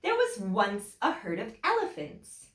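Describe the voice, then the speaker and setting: A woman teacher reading a children's picture book aloud in an expressive, deliberate way, with emphatic phrasing for young listeners. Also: mid-range voice. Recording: echoey room.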